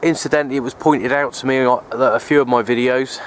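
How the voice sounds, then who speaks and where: A man talks off the cuff in a mid-pitched voice. He is low-key and resigned, circling back over his points like a casual vlogger. The recording is outdoors on a handheld camera, with faint noise behind him. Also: steady tone, a little fast. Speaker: outdoor radio hobbyist vlogger. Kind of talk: casual rambling vlog narration. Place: outdoors on an open wooded hilltop. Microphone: handheld camera's built-in mic, held by speaker.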